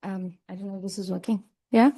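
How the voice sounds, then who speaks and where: A woman's voice: mid-range voice, fairly even intonation, quick pace, mellow, slightly rough. A woman, a PhD student, hesitantly introducing a guest speaker with many fillers. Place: lecture room.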